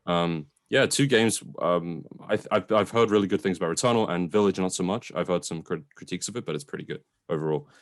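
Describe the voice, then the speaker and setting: A man's voice: deep voice, fast talker, hardly any pauses, dry recording, clean studio sound. A man hosting a podcast, chatting casually and enthusiastically about a film in an informal way. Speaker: podcast host. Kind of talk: casual film review chat.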